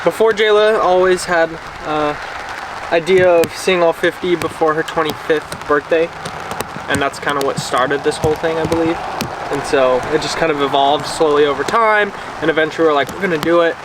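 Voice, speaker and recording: An easygoing young man rambles through his answers, his voice sitting slightly high. He is heard from a distance outdoors, with steady rain and a busy background behind him. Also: normal speed, a crowd in the background. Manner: easygoing, rambling with many fillers. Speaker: vlogger. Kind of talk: casual chat. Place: outdoors beside a car in the rain. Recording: distant outdoor camera audio with rain noise. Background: rain and outdoor ambience.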